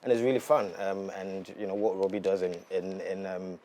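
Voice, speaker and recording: A man's voice: low voice, level tone, normal speed, dark tone. A man, speaking politely and hesitantly as an interview guest. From born in Ghana, moved to UK. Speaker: guest, sports agency partner. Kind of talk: measured interview talk.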